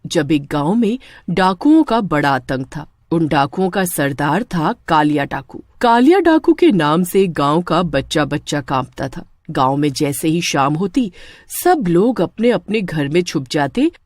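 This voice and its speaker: A woman narrating a scripted story as an expressive storyteller. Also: sits low, a little slow, no echo, studio quality.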